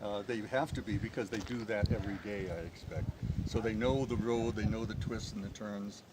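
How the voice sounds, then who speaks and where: A man's voice: lowish voice, fairly even intonation, a little fast. Enthusiastic middle-aged American man outdoors. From United States. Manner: enthusiastic, descriptive. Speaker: tourist guest. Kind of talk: outdoor interview. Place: outdoors in the mountains. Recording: noisy outdoor ambience.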